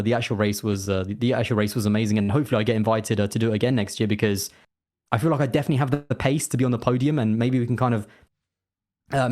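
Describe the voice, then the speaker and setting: Fast-talking man, chatty guest. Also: mid-range voice, darkish tone. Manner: chatty, rambling with many restarts and fillers. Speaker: guest, racing content creator. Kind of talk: casual interview chat.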